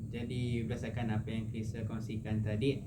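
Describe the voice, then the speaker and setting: Calm male counselor, paraphrasing. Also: lowish voice, a little fast. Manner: calm, reflective, paraphrasing back. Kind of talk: counseling session. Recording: steady background noise.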